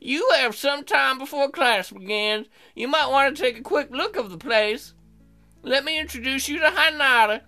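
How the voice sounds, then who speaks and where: A woman voicing a pompous, stern male hammerhead shark principal in a visual novel, theatrical and drawn-out. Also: high-pitched, bright, forceful tone, soft background music, pitched above the performer's own voice.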